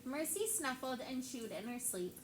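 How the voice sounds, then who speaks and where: A woman teacher reading a picture book aloud to her class with lively character voices. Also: high-pitched, normal speed. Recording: echoey classroom with background noise.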